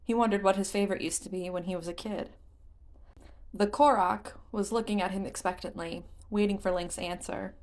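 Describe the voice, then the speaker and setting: Woman, mid-pitched voice, audiobook narrator, scripted story reading. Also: steady tone, moderate pace, darkish tone. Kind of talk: scripted fan fiction narration.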